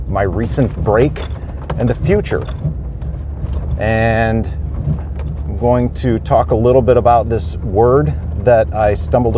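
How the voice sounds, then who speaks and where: Man, podcast host, very slow deliberate delivery, steady noise behind, thoughtful pauses. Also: medium pitch. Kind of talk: casual solo podcast talk. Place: on a homestead farm.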